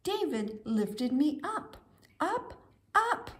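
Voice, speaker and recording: A high-voiced woman reads a children's story at an unhurried pace. Her pitch swings widely, with theatrical, sing-song emphasis on key words. She narrates expressively, as if to small children. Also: big pitch swings, fairly bright tone.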